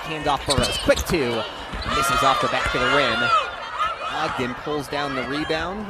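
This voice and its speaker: A young man calling live basketball play-by-play on radio with energy, raising his voice for big plays. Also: fairly high pitch. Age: young adult. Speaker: play-by-play sports commentator. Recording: loud crowd and gym noise behind.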